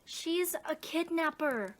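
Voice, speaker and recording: Woman acting high-voiced, sensible young girl. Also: animated, takes it slowly. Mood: urgent, earnest. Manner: earnest, polite, plain-spoken. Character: sensible, dutiful young girl, protective elder sister. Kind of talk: radio drama acting.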